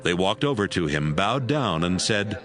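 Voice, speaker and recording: Deep, expressive male Bible narrator. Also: moderate pace. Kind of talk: scripted dramatized audiobook narration.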